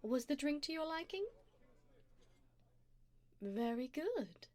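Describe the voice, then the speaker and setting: A woman plays a refined, predatory vampire lady in an ASMR roleplay. Her voice sits a little high and moves very slowly. She is calm and formal, teasing the listener with flirtatious endearments. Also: no room sound, heavily acted.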